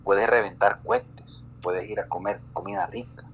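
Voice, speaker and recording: A man from El Salvador speaks in a very low, deep voice, stumbling through restarts and fillers as he chats casually on a live stream. The sound is a little dull and lo-fi, with a faint hum underneath. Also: steady tone, fairly bright tone. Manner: halting, many restarts and fillers. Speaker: guest.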